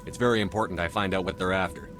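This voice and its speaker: A terse, businesslike military commander, played by a man, with a low voice and quick delivery. Game music runs clearly underneath. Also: fairly even intonation, close to an everyday voice.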